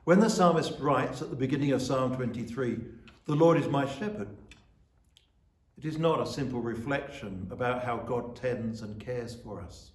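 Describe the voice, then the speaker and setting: A man giving a church sermon as officiant, speaking in measured, deliberate short phrases. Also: medium pitch. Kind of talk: sermon with scripture reading and prayer. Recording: roomy, echoing sound.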